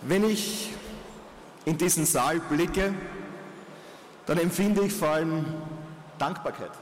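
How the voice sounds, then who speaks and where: An actor in documentary theatre playing a grateful politician who solemnly addresses loyal friends. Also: male voice, fairly high pitch, slow. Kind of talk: staged political speech. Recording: echoey hall, crowd murmur, faint noise.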